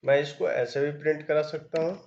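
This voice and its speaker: A man's voice: fairly high pitch, level tone, fairly quick, a little mellow, muffled recording, a little hiss or hum. A man teaching programming step by step, reading code aloud as he types. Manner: explains step by step while typing, reads code aloud. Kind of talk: screen-recorded coding tutorial.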